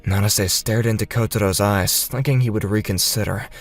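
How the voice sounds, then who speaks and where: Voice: low-pitched, steady tone, moderate pace, faint music behind. A narrator reading a scripted manga dub story in a dramatic storytelling style.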